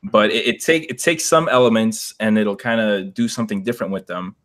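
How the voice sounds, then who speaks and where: Lower-voiced, halting podcast man. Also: expressive, a bit bright. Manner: hesitant, halting, feels out each point. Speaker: podcast co-host. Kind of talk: casual discussion.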